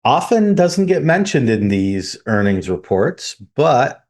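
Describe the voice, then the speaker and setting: A man's voice: mid-range voice, very animated, slow, darkish tone. A man co-hosting a podcast, steering topics and putting questions to his partner. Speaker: podcast co-host. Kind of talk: casual podcast discussion.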